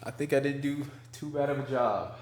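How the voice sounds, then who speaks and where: A man's voice: mid-range voice, fairly quick. Stuffy-nosed young man vlogging at home. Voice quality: stuffy, nasal from allergies. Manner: laid-back, chatty, says like and bro. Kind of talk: casual vlog talk to camera. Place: at home indoors.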